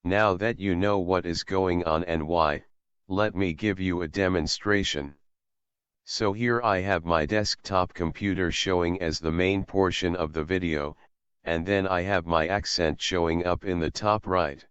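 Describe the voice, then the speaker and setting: Deep-voiced man calmly explaining a tutorial. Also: measured pace, smooth, no room sound. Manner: calm, methodical explaining. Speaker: assistive technology instructor. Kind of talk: screen-recorded tutorial. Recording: slightly dull, dry voice-over.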